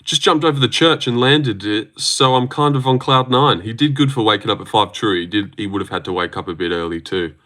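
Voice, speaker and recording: A low-voiced Australian man chats through a livestream, wandering from thought to thought and joking as he goes. His talk is loose and full of fillers and restarts. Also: a little fast, few pauses, bright, forceful tone. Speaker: livestream host. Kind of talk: casual livestream chat, reading out viewer comments.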